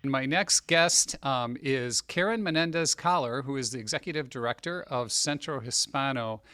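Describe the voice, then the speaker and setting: A man's voice: mid-range voice, normal speed, noisy background. A man hosting a podcast interview, speaking in a friendly, appreciative, easygoing way. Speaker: podcast host, county executive. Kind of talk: casual interview.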